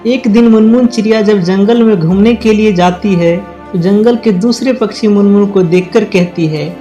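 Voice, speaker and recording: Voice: mid-range voice, moderate pace, dark tone. A storyteller narrates a children's cartoon tale in a scripted style. Faint music plays behind the voice.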